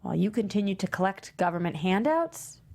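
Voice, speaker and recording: A woman's voice: medium pitch, lively intonation, brisk, vocal fry, a little mellow. A woman reading the part of a pushy, sardonic documentary interviewer at a table read. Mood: probing.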